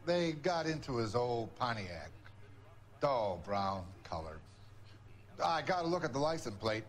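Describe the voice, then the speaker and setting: An actor playing a gruff older diner owner in a video game, blunt and terse. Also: male voice, mid-range voice, big pitch swings, measured pace. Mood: grudging. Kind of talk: cinematic video game acting.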